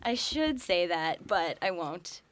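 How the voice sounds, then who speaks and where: A woman's voice: lowish voice, sing-song, slow pace, fairly bright tone, no echo. Casual female podcast guest, dry sound. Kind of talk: casual interview answers.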